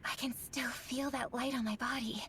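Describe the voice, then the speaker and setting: A woman playing a soft-spoken, earnest young fantasy heroine in a video game. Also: on the high side, steady tone, normal speed, a little hiss or hum, lightly acted. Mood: dazed, gentle. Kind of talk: video game cutscene acting.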